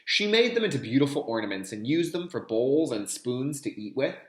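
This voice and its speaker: A man with a lively, widely moving pitch speaks as a kindergarten teacher doing a read-aloud. He addresses young listeners directly and explains things in clear teaching language. The recording is roomy, with noticeable echo around the voice. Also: brisk. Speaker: kindergarten teacher reading a picture book aloud.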